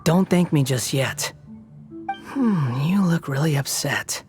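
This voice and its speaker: An actor playing an aloof, proud young wandering god in a video game, speaking with a cool, dry, mocking delivery. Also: male voice, fairly high pitch, animated, takes it slowly, darkish tone, soft background music. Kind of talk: video game cutscene dialogue.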